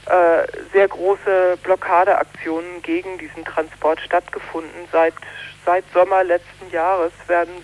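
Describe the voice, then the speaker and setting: A woman from northern Germany, a protest organizer, speaking earnestly in a radio news interview and often pausing on fillers and restarting. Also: fairly low pitch, flat delivery, rough recording.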